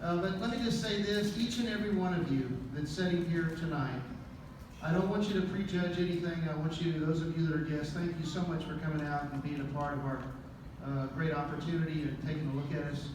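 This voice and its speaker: A man's voice: fairly high pitch, fairly even intonation, brisk. Rousing man speaking in an echoey hall. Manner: rousing, preacher-like delivery. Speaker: speaker at a business event. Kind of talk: motivational speech. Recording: echoey hall, distant mic, crowd noise.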